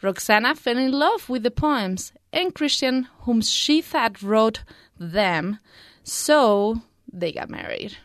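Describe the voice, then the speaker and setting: Clear young woman, close dry sound. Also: on the high side, animated, slow pace, no room sound. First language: Spanish. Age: 20s. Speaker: student book reviewer. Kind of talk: prepared book review.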